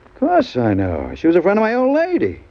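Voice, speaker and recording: A man playing a big, shifty pool parlor owner of about forty in a radio crime drama, with a husky voice and an urgent, insistent manner. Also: high-pitched, huge pitch swings, brisk, no echo, full character voice. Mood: agitated. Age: middle-aged. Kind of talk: old-time radio crime drama acting. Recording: dull vintage radio recording.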